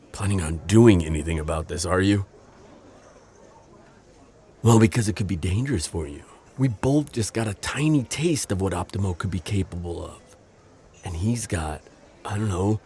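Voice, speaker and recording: A man playing a gentle, protective civilian friend in an audio drama, earnest and hesitant with a slight stammer. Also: on the low side, expressive, normal speed, pauses often, natural voice. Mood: caring, earnest. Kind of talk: naturalistic audio roleplay drama acting.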